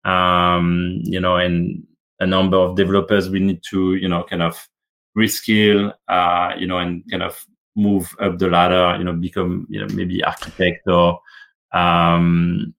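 A man chats as a guest in a casual interview. His voice sits a little low. He hesitates often, filling gaps with fillers and restarting his sentences as he works toward his point. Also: flat delivery, a little slow, a bit bright.

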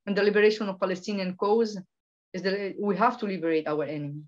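Emphatic woman from Venezuela, halting delivery. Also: medium pitch, steady tone. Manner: halting, many restarts and repetitions, emphatic. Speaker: guest, political researcher. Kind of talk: impassioned interview talk. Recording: dull lo-fi sound.

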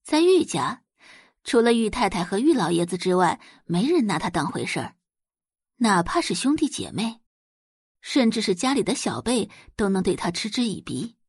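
A woman's voice: medium pitch, big pitch swings, moderate pace, a little mellow, slightly rough, dry recording, clean studio sound. A woman narrator reading a romance novel expressively for an audio drama, as scripted storytelling.

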